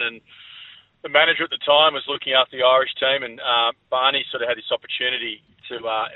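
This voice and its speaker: Relaxed Australian man on a tinny phone line. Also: medium pitch, no room sound. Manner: relaxed, rambling, full of ums. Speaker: guest, former rugby league player. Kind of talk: casual interview.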